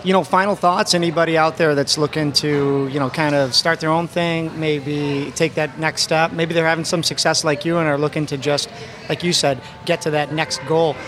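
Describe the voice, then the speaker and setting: A man co-hosts a casual podcast interview. His voice sits a bit high. He asks long, rambling questions in a supportive way. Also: steady tone, normal speed, a bit bright. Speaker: podcast co-host.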